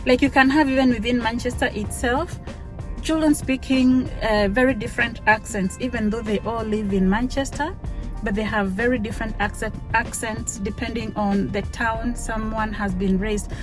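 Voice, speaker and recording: A woman's voice: on the high side, fairly even intonation, normal speed. A woman from Africa whose first language is not English, chatting casually to her viewers while driving, often laughing and repeating words for emphasis. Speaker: vlogger. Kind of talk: casual driving vlog chat. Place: inside a car. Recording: loud music underneath.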